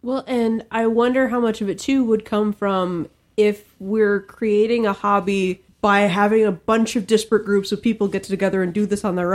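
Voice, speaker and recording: A woman co-hosting a podcast, talking casually and analytically as she thinks aloud. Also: mid-range voice. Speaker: podcast co-host. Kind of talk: casual panel discussion.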